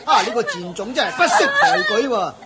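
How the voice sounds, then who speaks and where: An actor playing a brash, callous slave auctioneer in a radio play, pushy and mocking. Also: male voice, extremely high pitch, wildly swooping pitch, fairly quick, heavy background noise, exaggerated voice. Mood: harsh. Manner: pushy, mocking, sells loudly. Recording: market crowd sound effects behind. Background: crowd murmur.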